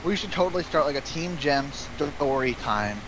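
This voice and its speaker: A man with a fairly high voice and very animated pitch chats casually on a clearly noisy internet call. He jokes and tells little anecdotes in a halting way. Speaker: guest on a gaming voice call. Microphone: Skype call. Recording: noisy Skype call audio.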